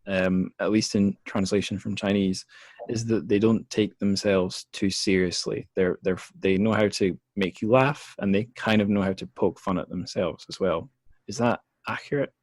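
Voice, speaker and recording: A man's voice: on the low side, fairly even intonation, normal speed, darkish tone, dry recording. A man hosting a casual book discussion podcast, thinking aloud in a hesitant, rambling way. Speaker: podcast host.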